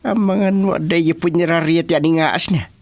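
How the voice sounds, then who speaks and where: An actor playing an animated, teasing village man with an Ilocano accent in a comedy radio drama, given to exclamations. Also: fairly high pitch, normal speed, dry recording, natural voice. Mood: startled, teasing.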